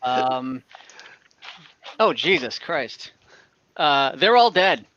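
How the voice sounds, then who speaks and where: A man's voice: mid-range voice, lively intonation, very slow, pushed and bright, no room sound, highs cut off. A man running an online tabletop game as game master, talking in a casual, informal way.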